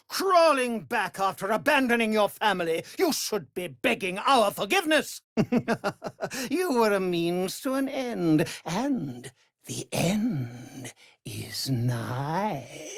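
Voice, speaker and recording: A man's voice: high voice, a bit bright, no echo, clean studio sound, full character voice. An actor voicing a cruel, pompous male vampire lord in a video game, imperious and sneering in his delivery. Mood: sneering menace. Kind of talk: theatrical video game villain acting.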